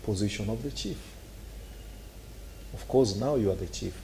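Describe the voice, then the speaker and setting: A man's voice: on the low side, moderate pace, creaky, dark tone, slight background noise. A man with a Kenyan accent, arguing animatedly on a talk show and acting out scenes with sound effects. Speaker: political commentator.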